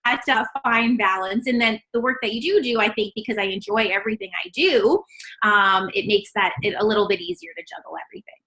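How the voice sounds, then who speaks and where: A woman's voice: medium pitch, fast, sharp tone, low-quality recording. A woman dietitian chatting cheerfully in an interview over a call, laughing often. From Canada. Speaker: registered dietitian guest.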